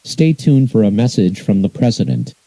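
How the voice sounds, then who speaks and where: A man reading a formal scripted emergency bulletin as an alert announcer, in an impersonal, measured way. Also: on the low side, wildly swooping pitch, vocal fry, mellow, no room sound.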